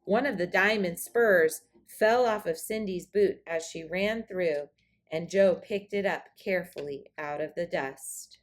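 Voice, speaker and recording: A woman narrating a children's storybook aloud in an expressive read-aloud style. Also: lowish voice, unhurried. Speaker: storybook reader.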